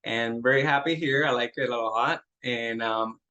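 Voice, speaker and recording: A man's voice: medium pitch, normal speed, pushed and bright, muffled recording. A middle-aged man from Puerto Rico with a Puerto Rican accent, a Spanish speaker, guesting on a casual interview and laughing often in a modest way. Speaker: interview guest, medical coder.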